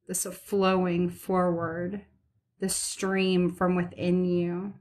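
A woman leading a guided meditation as its host, speaking soothingly and gently in repeated, unhurried phrases. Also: fairly low pitch.

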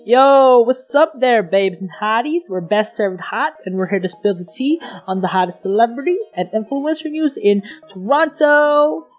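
A woman's voice: fairly high pitch, animated, normal speed, few pauses. A woman playing a gushing, slangy young celebrity-gossip video reporter in an audio drama. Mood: gushing, teasing. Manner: peppy, slangy, teasing. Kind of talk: online gossip news patter. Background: music.

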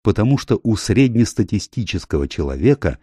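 A man reading a nonfiction audiobook in measured phrases, stressing key words, close to the microphone. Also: on the low side, big pitch swings, warm tone, husky, no echo. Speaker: audiobook narrator. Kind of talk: scripted nonfiction audiobook narration.

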